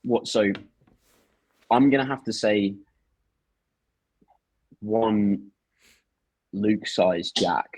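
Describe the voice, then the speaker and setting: A man's voice: on the low side, drawn-out words, pauses often, darkish tone, dry recording, rough recording. A man in his twenties co-hosting a podcast, teasing his co-host and reading out listener questions. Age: 20s. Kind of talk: casual chat.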